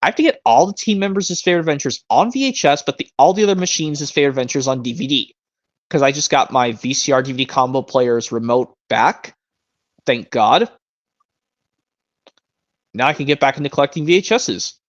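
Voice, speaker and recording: A young man in his twenties chatting on a live stream, rambling with frequent restarts. His voice sits at an ordinary middle pitch. The audio is a little muffled and lo-fi. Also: expressive, normal speed. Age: 20s. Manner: rambling, restarts often, enthusiastic collector. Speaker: stream host. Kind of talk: casual chat.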